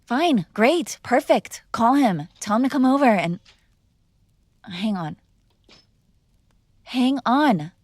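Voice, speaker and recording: A woman's voice: on the high side, expressive, takes it slowly, pauses often, no echo. A young woman performing an audio roleplay as a defiant, sarcastic mob boss's daughter arguing with her father. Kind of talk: scripted ASMR girlfriend roleplay.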